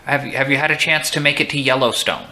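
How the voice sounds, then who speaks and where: A man's voice: mid-range voice, quick pace, pushed and bright, a little hiss or hum. A man hosting a livestream chat, friendly and enthusiastic, musing at length on life. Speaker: streamer host.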